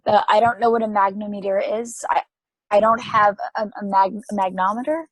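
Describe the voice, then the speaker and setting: A woman's voice: medium pitch, fairly even intonation, brisk, no room sound, natural voice, low-quality recording. A woman playing a lonely, frightened space probe in a play table read, hesitant and pleading. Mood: lonely, confused.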